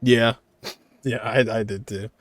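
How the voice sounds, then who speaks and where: A man's voice: mid-range voice, fairly even intonation, unhurried. A man giving casual video game playthrough commentary, reacting in muttered asides and echoing what he hears. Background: game music.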